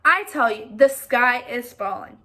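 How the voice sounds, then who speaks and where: A small, anxious storybook hen, acted out by a woman, exclaiming in a high, bright voice. She is fretful and insists on being believed. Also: animated, unhurried. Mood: urgent, insistent. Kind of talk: children's storybook character voice.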